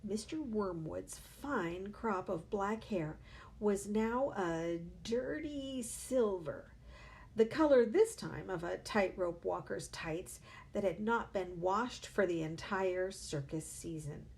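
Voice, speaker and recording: A woman reading a children's book aloud with expressive storytelling. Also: medium pitch, slow, gravelly.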